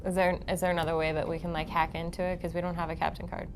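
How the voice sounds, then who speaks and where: A woman's voice: fairly low pitch, little pitch movement, racing. A woman playing a tabletop game, chatting casually with the group. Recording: noticeable background noise.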